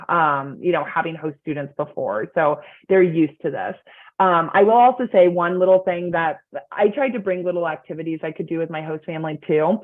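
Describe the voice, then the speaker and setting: Chatty young woman on a tinny call. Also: lowish voice. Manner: chatty, many "like" fillers. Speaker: student panelist. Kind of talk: casual panel advice. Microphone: video call. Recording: tinny phone-like video call audio.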